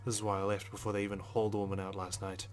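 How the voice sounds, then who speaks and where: A man reads a visual novel's narration aloud in a dry, understated style. His voice is lowish and flat, moving quickly over faint background music. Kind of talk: reading narration aloud in a let's play.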